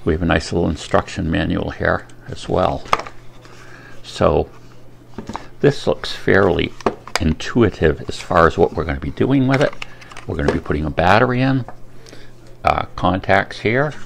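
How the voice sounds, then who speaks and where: A man giving a casual, unscripted product review in a rambling way, pausing often to think. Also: deep voice, gravelly, noisy background.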